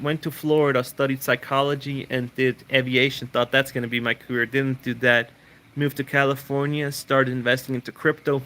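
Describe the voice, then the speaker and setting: Bright-voiced man rambling through live Q&A. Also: medium pitch. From Switzerland. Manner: rambling, repeats words, frequent restarts. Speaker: livestream host answering viewer questions. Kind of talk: casual live Q&A chat.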